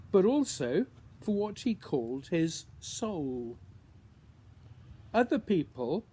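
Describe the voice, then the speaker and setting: Male poet for a spoken reading: unhurried, musing delivery with pauses, slightly high voice, some background noise. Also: warm tone. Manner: deliberate, pausing between short phrases, musing questions. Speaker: writer reading own poem. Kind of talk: introduced poetry reading, measured recitation.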